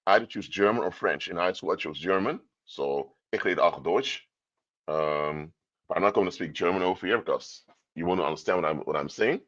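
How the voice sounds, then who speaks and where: A man's voice: low-pitched, fairly quick, a bit bright, lo-fi sound. A man from Curaçao, giving a livestream talk in a lecturing tone full of rhetorical questions. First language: Papiamento. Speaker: commentator. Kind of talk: livestream commentary.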